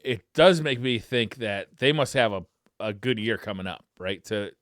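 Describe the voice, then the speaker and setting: A man's voice: fairly low pitch, lively intonation, normal speed, fairly bright tone, no echo, studio quality. A man co-hosting a podcast, chatting casually and with enthusiasm about games. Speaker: podcast co-host. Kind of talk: casual gaming chat with commentary.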